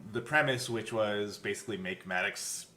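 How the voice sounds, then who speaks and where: A man co-hosting as game master, explaining things casually in halting, piecemeal phrases. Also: lowish voice, bright, forceful tone. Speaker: game master and podcast co-host.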